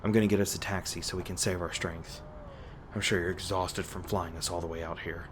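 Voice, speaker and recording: A man playing a weary, guarded monster hunter in an audio roleplay, gruff but caring, with halting, sardonic, sweary speech. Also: deep voice, fairly even intonation, close to an everyday voice. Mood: reassuring, tired. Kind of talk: audio roleplay, one-sided dialogue to the listener. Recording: noisy ambient sound effects behind voice. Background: ambient sound effects.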